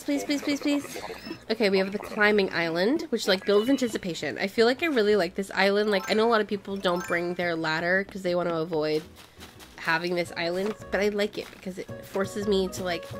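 A woman streaming a game, chatting casually, playfully dramatic and pleading, her voice going hoarse. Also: medium pitch, fairly bright tone. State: losing her voice. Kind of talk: casual gameplay commentary. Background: game music.

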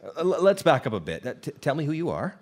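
An actor playing a put-upon night-shift manager, stammering and exasperated, in a live radio drama. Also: male voice, fairly high pitch, sing-song, fast talker, a little mellow. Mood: flustered, placating. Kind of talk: live old-time radio drama acting. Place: live stage studio performance.

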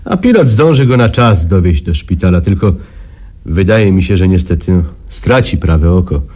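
Lively-pitched, compliant henchman. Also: moderate pace. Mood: matter-of-fact. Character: compliant male henchman, hesitant underling. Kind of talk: Polish radio play acting.

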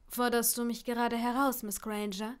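A woman's voice: a little high, level tone, normal speed, clear, resonant tone, lightly acted. Teasing young male romantic lead, read by a woman, smug and understated, for story dialogue.

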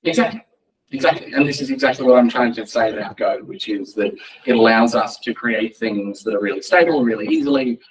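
A man's voice: mid-range voice, a little fast. A man speaking informally at a tech meetup, answering questions with hesitant, self-correcting starts. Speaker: conference speaker. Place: indoor meeting room, speaking at a lectern. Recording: distant mic in a meeting room.

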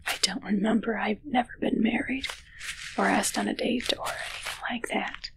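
A young woman playing a haughty, possessive witch in a whispered ASMR roleplay, hushed, teasing and unhurried, close to the microphone. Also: mid-range voice, steady tone, lightly acted, rough recording. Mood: wry, teasing. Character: possessive, haughty female witch toying with her familiar. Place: small dark draped room indoors.

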